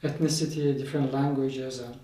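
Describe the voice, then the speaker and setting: A man from Iran whose first language is Azerbaijani Turkish, a professor answering interview questions in a measured way with frequent fillers. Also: mid-range voice, fairly even intonation, mellow. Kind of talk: conversational interview. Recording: echoey room, mic at a distance, faint noise.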